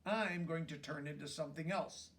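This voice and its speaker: A man's voice: fairly high pitch, normal speed, fairly bright tone, recorded from a distance, slight background noise, natural voice. A man voicing a proud, self-important female caterpillar in a storybook, speaking in boastful declarations.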